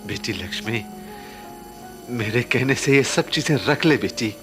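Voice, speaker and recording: Actor as a doting uncle with swooping, emotional delivery. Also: male voice, mid-range voice, expressive, lightly acted. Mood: resigned, tender. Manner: halting, emotional, fatherly. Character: doting middle-aged uncle, tender and insistent. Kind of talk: Hindi radio play acting. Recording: old radio play recording with music.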